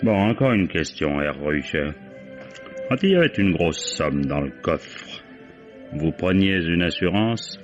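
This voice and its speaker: A man's voice: deep voice, very animated, measured pace. An actor playing a shrewd, affable but insistent police commissioner in a vintage French radio mystery, courteous as he questions. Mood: pressing. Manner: courteous, chuckling, probing interrogator. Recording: dull old radio recording, music underneath.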